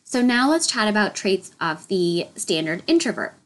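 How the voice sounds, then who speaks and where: A woman's voice: medium pitch, big pitch swings, normal speed, rough recording. Slightly nasal woman podcast host. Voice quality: a little nasal. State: congested with a head cold. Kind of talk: solo podcast talk.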